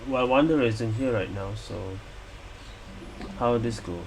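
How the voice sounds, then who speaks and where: A man's voice: medium pitch, moderate pace, rough recording. A man giving casual gameplay commentary, musing and reacting aloud as a gaming streamer. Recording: steady hum of room noise.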